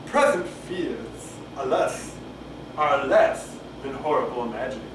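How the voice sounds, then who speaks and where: A man doing a halting, rambling, unrehearsed read-aloud of Shakespeare, stumbling and going back over lines. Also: medium pitch, expressive. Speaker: amateur reader of a play. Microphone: camcorder microphone at a distance. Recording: roomy, distant camcorder mic with clear noise.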